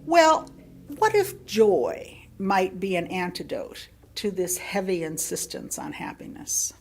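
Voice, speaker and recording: Expressive woman reading a reflective sermon. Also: fairly low pitch, vocal fry, a little hiss or hum. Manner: reflective, with rhetorical questions and deliberate pauses. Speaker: lay speaker reading a sermon. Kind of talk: sermon read from a prepared text.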